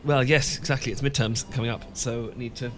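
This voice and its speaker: A man's voice: mid-range voice, steady tone, brisk, a little hiss or hum, lightly acted. A man with a British accent playing an awkward, sentimental English professor in a romantic ASMR roleplay, stammering and hesitant. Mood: awkward, nervous. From Britain. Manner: stammering, hesitant, full of false starts. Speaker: audio roleplay performer.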